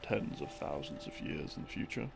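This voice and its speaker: A man telling a story in a rambling, intimate boyfriend-roleplay style, halting and musing as his thoughts trail off. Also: a bass voice, lively intonation, vocal fry. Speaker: audio roleplay performer playing a boyfriend. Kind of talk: rambling, improvised intimate storytelling of game lore. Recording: music behind the voice. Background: slow game theme music.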